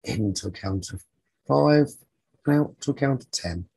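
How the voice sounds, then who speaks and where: A man leading a guided sleep meditation as a teacher, soothing and husky, speaking in short phrases with long pauses. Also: medium pitch, lively intonation, warm tone, no echo.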